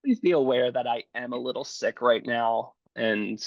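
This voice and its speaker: A hoarse man talks slowly and earnestly, leaning into words of encouragement. His voice comes over a dull, lo-fi video call line that sounds dry and close. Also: mid-range voice, dry recording. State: hoarse from bronchitis. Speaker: podcast guest. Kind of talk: remote interview.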